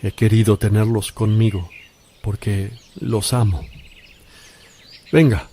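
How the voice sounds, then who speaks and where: Man acting calm holy teacher, solemn authority, unhurried pace, dark soft timbre, religious radio drama. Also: fairly low pitch, a little hiss or hum. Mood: gently firm. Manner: measured, solemn commands. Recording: faint outdoor birdsong and ambience.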